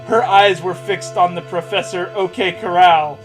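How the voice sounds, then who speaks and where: Sarcastic young guy with a higher-pitched voice. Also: steady tone, measured pace, loud music behind the voice, low-quality recording. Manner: sarcastic, sweary, breaks off to joke. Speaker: co-host reading fan fiction aloud. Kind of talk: casual mocking read-aloud and commentary over a game.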